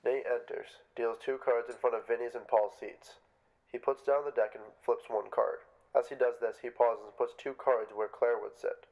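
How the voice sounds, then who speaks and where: A man's voice: mid-range voice, fairly even intonation, moderate pace, darkish tone, rough recording. A man reading stage directions as scripted narration for a play.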